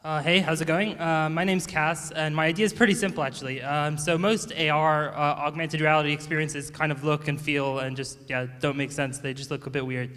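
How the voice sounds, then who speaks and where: A man with a smooth, slightly higher voice pitches his idea casually, with easygoing fillers. A long hall reverb trails his words. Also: fairly quick, hardly any pauses. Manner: relaxed and informal, many fillers. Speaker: startup pitcher.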